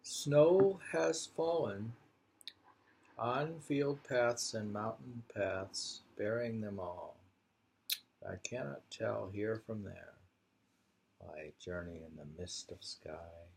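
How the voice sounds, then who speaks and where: A man reading poems aloud and discussing them casually, in a deliberate way, repeating lines and musing on them. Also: fairly low pitch, vocal fry, darkish tone, slight background noise, rough recording. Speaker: poetry reader and commentator.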